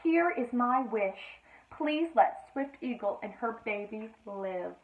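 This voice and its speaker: A woman voicing an eager, gentle young girl who loves horses in a storybook, earnest and pleading. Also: a little high, animated, slow, distant mic. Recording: roomy, echoey, narrowband sound.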